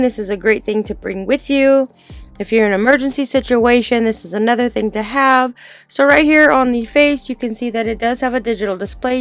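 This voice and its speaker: A woman with a somewhat high voice presents a casual product demonstration. She explains things informally, one step at a time, with music playing behind her. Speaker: product reviewer.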